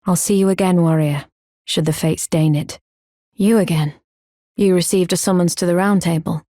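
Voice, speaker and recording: A proud, earnest fantasy game warrior acted by a woman, with a lowish, dark and mellow voice. She speaks solemnly in formal, archaic phrasing, measured and stoic. The sound is dry and close. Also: fairly even intonation, no room sound, natural voice. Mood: composed, formal. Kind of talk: video game character acting, formal archaic speech.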